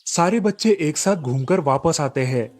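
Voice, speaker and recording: A man's voice: on the high side, lively intonation, moderate pace, darkish tone. A man reading scripted storybook narration for a cartoon tale.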